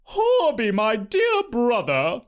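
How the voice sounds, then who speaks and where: A man's voice: very high voice, wildly swooping pitch, slow, voice pushed up, exaggerated voice. A man voicing a giggly, sugary, greedy rich aunt in a storybook reading. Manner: sugary, giggly, sing-song.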